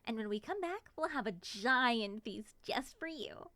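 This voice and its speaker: A woman playing an excitable, affectionate moth monster girl in an ASMR roleplay, gushing and sweetly possessive. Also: fairly high pitch, a little slow, no echo, full character voice. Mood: cooing, eager.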